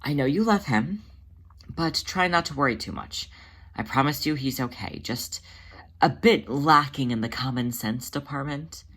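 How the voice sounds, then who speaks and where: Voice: medium pitch, lively intonation, normal speed, a bit bright. A narrator voicing a motherly female cat who is a healer, speaking in a patient, soothing and reassuring way.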